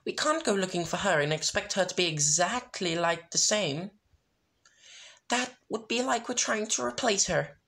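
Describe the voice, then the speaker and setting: A sensitive, refined lady pony of cartoon kind as a fan reader plays her. The voice is lifted high and speaks with careful reserve. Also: lively intonation, normal speed, creaky, pushed and bright. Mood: cautious.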